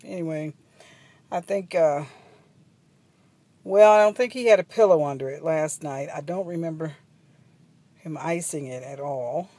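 A woman's voice: deep voice, measured pace, long pauses, dark tone, dry recording. A middle-aged woman chatting to her phone camera from a parked minivan at a gas station, rambling with ums and asides. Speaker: travel vlogger. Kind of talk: casual vlog chat to camera. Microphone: phone camera at arm's length.

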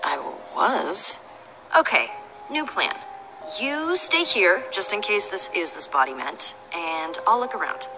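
Young streetwise heroine: self-assured, animated delivery, game dialogue over clear music. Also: female voice, mid-range voice, slow pace, rough recording. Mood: puzzled. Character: confident, streetwise young female adventurer. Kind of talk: video game voice acting. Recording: music behind the voice.

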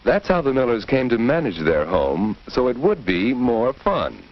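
A man's voice: mid-range voice, huge pitch swings, normal speed. An American man narrating a 1940s instructional film from a script. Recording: dull, muffled 1940s film soundtrack.

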